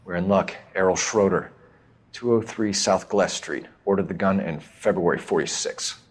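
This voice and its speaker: An actor playing an earnest, ambitious young police officer in a detective game, speaking in an articulate, formal, matter-of-fact way. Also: male voice, low-pitched, moderate pace, a little hiss or hum, close to an everyday voice. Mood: businesslike. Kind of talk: cinematic detective game dialogue.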